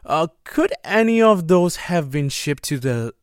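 A man's voice: on the high side, very animated, a little slow, clear, resonant tone, dry recording, studio quality. An actor playing an eager, tentative tech-minded male revolutionary in a naturalistic audio drama.

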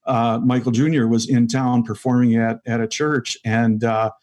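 A man's voice: medium pitch, little pitch movement, normal speed, a little mellow. Rambling middle-aged man, comedian guest. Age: 40s. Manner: rambling, many fillers and restarts. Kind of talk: casual interview chat.

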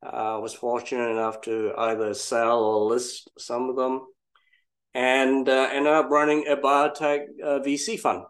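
A man's voice: mid-range voice, little pitch movement, unhurried. Reflective older man from Malaysia. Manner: reflective, self-deprecating, wry. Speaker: guest entrepreneur. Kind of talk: casual interview storytelling.

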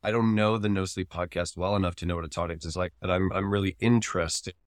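A man's voice: low-pitched, steady tone, fast, no room sound, clean studio sound. A man co-hosting a podcast, talking casually and analytically as he explains his opinions. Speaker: podcast co-host.

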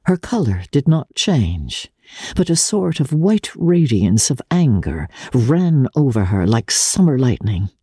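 Husky, mellow woman narrating with drama. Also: extremely low pitch, no room sound, studio quality. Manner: measured, expressive, theatrical. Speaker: audiobook narrator.

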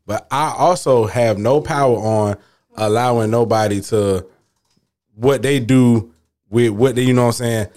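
A man's voice: mid-range voice, a little slow, a little mellow. A man on a podcast, telling a story in easy slang and full of "you know what I'm saying." He gets emphatic when he pushes back, repeating words for force. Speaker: podcast guest. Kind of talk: casual podcast debate.

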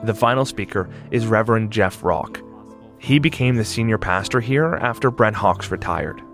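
A man's voice: lowish voice, normal speed, a bit bright. A man hosting and narrating a documentary podcast in a somber, reflective scripted voice. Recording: narration with faint music bed.

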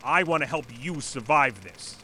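An actor in an audio drama playing an earnest, stubborn former manager who lectures formally and pleads insistently. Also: male voice, medium pitch, lively intonation, moderate pace, pushed and bright, a little hiss or hum. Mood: pleading, earnest. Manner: formal, insistent, speech-making. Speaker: presenter at a company meeting. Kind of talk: audio drama acting, satirical dystopian comedy.

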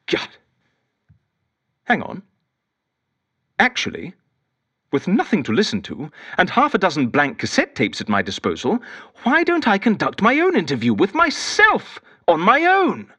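A man's voice: high voice, wildly swooping pitch, unhurried, pauses often, bright, forceful tone, no echo, full character voice. A man playing an eccentric, lonely, chatty interviewer with a British accent in an audio drama, rambling theatrically to himself. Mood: flustered. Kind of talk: audio drama monologue, talking to a tape recorder.

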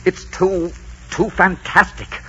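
An actor playing a headstrong, romantic conquistador in melodramatic, emphatic 1940s radio drama style. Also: male voice, high-pitched, level tone, takes it slowly, bright, forceful tone, breathy edge, heavily acted. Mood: impassioned pleading. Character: passionate Spanish conquistador, headstrong romantic hero. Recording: dull, muffled 1940s radio recording.

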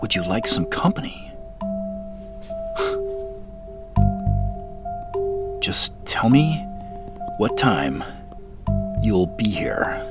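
An actor playing a hesitant, apologetic professional man in a naturalistic audio drama, with a halting, awkward way of talking. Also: on the low side, very animated, loud music behind the voice, full character voice. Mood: agitated, then quiet. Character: Joseph, hesitant, apologetic middle-aged professional man with dad jokes.

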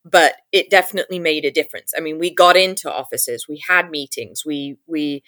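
A woman's voice: deep voice, brisk, fairly bright tone, no echo. A woman in her thirties from England with an English accent, telling her story in an interview and acting out her past thoughts in quotes. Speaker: advocacy group founder.

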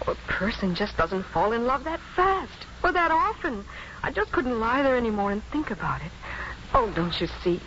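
A woman's voice: fairly high pitch, very animated, normal speed, a bit bright. An actress playing an earnest, headstrong young woman in love, pressing and emotional, in a muffled 1950s radio drama. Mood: distressed. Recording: muffled 1950s radio recording, faint noise.

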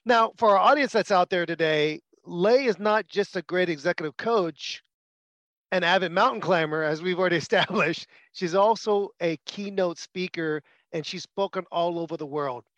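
A man's voice: sits high, normal speed, fairly bright tone, dry recording, highs cut off. A man hosting a podcast interview, talking with enthusiasm and strong emphasis. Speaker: podcast host.

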